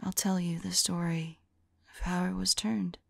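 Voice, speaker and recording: A woman playing a tender, sorrowful vampire lover in an ASMR roleplay, speaking softly and intimately in a breathy, hesitant whisper. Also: on the low side, flat delivery, unhurried, no echo, heavily acted. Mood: wistful, tender. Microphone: close microphone. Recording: close, dry microphone.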